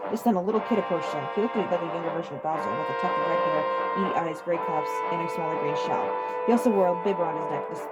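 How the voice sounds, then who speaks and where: Female story narrator: lowish voice, brisk dramatic reading over prominent music. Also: rough recording. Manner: stumbles over written words. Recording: loud music under the voice.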